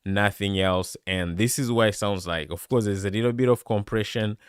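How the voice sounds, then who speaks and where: Deep bassy guy rambling excitedly on a live stream, dry close mic, super clean. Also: moderate pace, clear, resonant tone, dry recording. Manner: chatty, excitable, many fillers. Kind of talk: casual live stream product review. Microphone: studio dynamic microphone close up.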